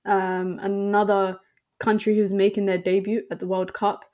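Young woman guest, even flat-toned football chat, lots of ums. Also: medium pitch, moderate pace, lo-fi sound. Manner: chatty, many ums and restarts. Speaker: podcast guest.

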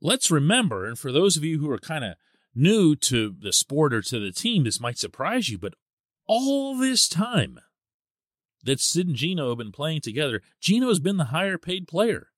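A man hosting a sports podcast as a columnist, giving solo commentary in an animated, emphatic way. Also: fairly high pitch, normal speed, dry recording, studio quality. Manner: animated and emphatic, stresses key words.

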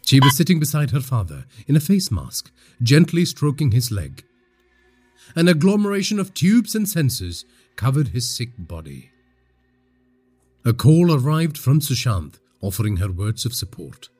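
A man from India narrating a scripted horror story in a husky voice, with dramatic, suspenseful delivery. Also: medium pitch, big pitch swings, normal speed, darkish tone.

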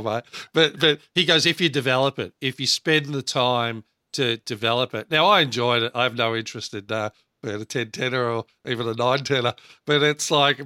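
A man with a baritone voice, co-hosting a casual podcast chat and acting out quotes as he tells stories. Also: smooth, no echo, studio quality. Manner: animated storyteller, acts out quotes. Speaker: podcast co-host.

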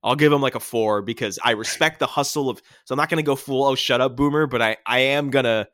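A male podcast co-host with a bright, forceful voice, tossing out playful, emphatic banter. The sound is dry and close to the mic. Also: medium pitch, quick pace, dry recording. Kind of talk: casual sports podcast chat.

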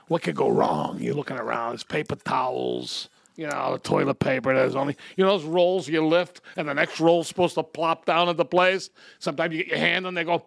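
A man co-hosting a podcast, telling crude comedic stories in a profane, animated way with frequent laughs. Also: on the high side, vocal fry, dry recording. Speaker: podcast co-host. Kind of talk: crude comedic storytelling and banter.

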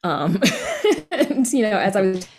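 A woman's voice: mid-range voice, big pitch swings, slow, darkish tone. A woman from the American South with an American accent, chatting casually and candidly as an interview guest. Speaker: interview guest, horror writer and podcast creator.

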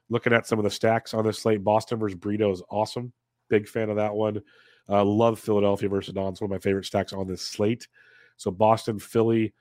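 A man's voice: lowish voice, darkish tone, no echo, clean studio sound. A man with a congested voice hosting a casual fantasy sports preview, talking through picks and answering chat questions with plenty of fillers. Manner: conversational, answers live chat, many fillers. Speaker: fantasy sports analyst, show host.

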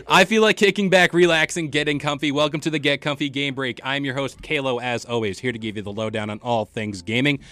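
A man's voice: medium pitch, steady tone, brisk, hardly any pauses, pushed and bright. Casual, slangy man hosting a gaming podcast. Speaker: podcast host.

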